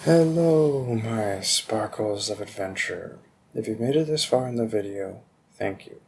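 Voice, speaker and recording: A man giving a casual, low-key outro to his viewers as an audio performer, speaking a little hesitantly. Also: gravelly, a bit bright, slight background noise.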